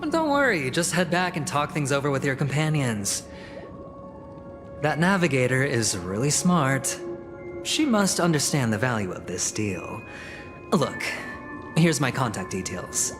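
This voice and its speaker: A slick young game character, a scheming company envoy with a gambler's charm. He talks in a theatrical, insinuating way, coaxing with drawn-out phrases at a medium male pitch. Music plays behind him. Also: in a large hall. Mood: breezy, friendly. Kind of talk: video game character dialogue.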